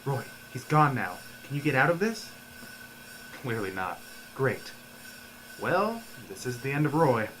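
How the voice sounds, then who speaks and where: An exasperated sidekick played by a man in a comic radio play, scolding with heavy sarcasm and disbelief. The voice sits at a middle pitch and jumps about expressively as it nags. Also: very animated, a little slow, long pauses, fairly bright tone, slight background noise, low-quality recording. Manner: sarcastic, nagging, incredulous.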